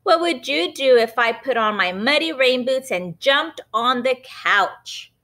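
A woman's voice: high-pitched, animated, measured pace, sharp tone, voice pushed up, exaggerated voice. Woman doing a cheeky little boy. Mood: teasing. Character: naughty defiant little boy, teasing.

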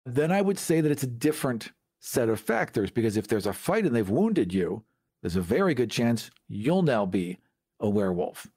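A man of medium pitch chats as a podcast co-host about tabletop games. His delivery is conversational and measured, laying out his reasoning step by step. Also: sing-song, mellow, slightly rough. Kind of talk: casual tabletop gaming discussion.

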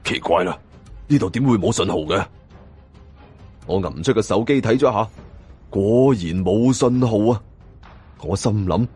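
A man's voice: medium pitch, dark tone, breathy edge. A man with a Hong Kong Cantonese accent narrating a suspense novel as a dramatic audiobook storyteller.

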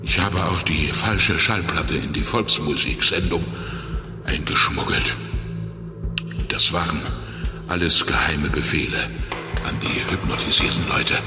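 A man playing a hypnotist who explains a secret plot in halting, deliberate phrases in a children's audio drama. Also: sits low. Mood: grave, halting. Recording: echoey hall reverb under music.

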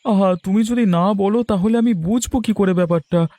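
Man acting a mellow, higher-voiced poor farmer. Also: normal speed, dry recording, natural voice. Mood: pleading. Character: long-suffering, poor village farmer husband. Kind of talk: Bengali fairy-tale cartoon acting.

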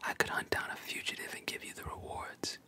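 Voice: a little fast, natural voice. A storyteller voicing a blunt, exhausted captive swordsman in a whisper, as in a manga retelling. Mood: weary. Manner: blunt, terse.

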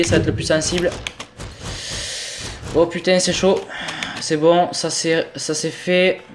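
A young man with a cold, casually commentating his own gameplay, exasperated and swearing as things go wrong. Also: a little high. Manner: swearing, exasperated, talking to himself while playing. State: sick with a cold. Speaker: gaming video host. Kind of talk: casual let's-play commentary.

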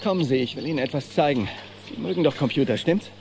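Keen, lively young research assistant. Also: male voice, on the high side, quick pace, slight background noise. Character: enthusiastic young male paleontology assistant, cheerful and keen. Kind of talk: German-dubbed film dialogue.

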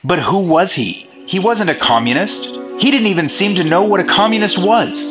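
An earnest young FBI agent, with a lively voice that rises and falls. He presses with eager questions and a dutiful tone. Music plays softly behind. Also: high-pitched, moderate pace. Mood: pleading. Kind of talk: radio drama acting.

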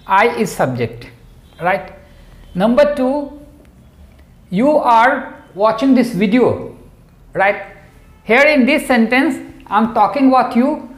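A man's voice: extremely high pitch, fairly bright tone. A middle-aged man teaching a grammar lesson at a whiteboard, patiently checking in with "right?" as he explains. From India. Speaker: English teacher. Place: room in front of a whiteboard. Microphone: lapel. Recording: echoey room.

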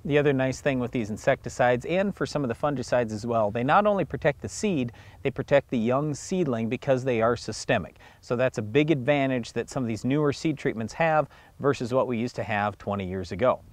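A man's voice: mid-range voice, fairly quick, hardly any pauses, no echo. A middle-aged man from the United States hosting a farm show, explaining steadily and plainly, outdoors in a field on a lapel mic. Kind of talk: scripted educational farm talk.

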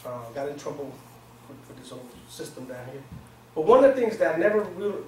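A man in his forties speaks informally to a small group as a seminar speaker, with streetwise phrasing and English as a second language. His voice sits a little high. It is caught from across an echoey conference room with faint noise. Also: sing-song, brisk, mellow. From Pakistan, grew up in Virginia, US. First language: not English. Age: 40s. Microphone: far camera microphone. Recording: echoey room, distant camera mic, noisy.

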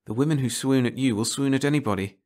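A tough woman agent, read by a man in an audiobook story. She is dry and sardonic, scolding briskly and unimpressed. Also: mid-range voice, darkish tone, voice pushed up, close to an everyday voice.

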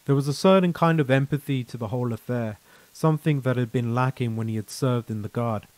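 A man's voice: mid-range voice, fairly quick, darkish tone, no echo. A man reading a scripted short story as its narrator.

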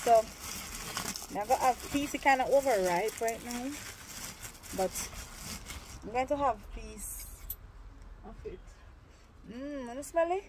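A woman's voice: fairly high pitch, lively intonation, takes it slowly, long pauses, background noise. A young woman chatting casually to her viewers in a cooking vlog, filming herself on a phone outdoors. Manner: chatty, talks straight to viewers while eating. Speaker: vlogger. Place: outdoors under a tin-roofed shed in a yard. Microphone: phone held at arm's length.

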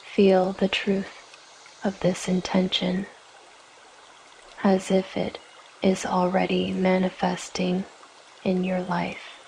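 Very slow, low-voiced woman guiding meditation. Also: monotone, vocal fry, a little hiss or hum, rough recording. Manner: gentle, soothing, measured with long pauses. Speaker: yoga nidra guide. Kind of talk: guided meditation, scripted narration.

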